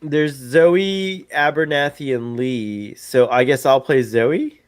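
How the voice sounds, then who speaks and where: A man hosting a live stream, chatting casually in an excitable, rambling way and repeating himself. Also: medium pitch, slow pace, no echo, clean studio sound. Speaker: stream host.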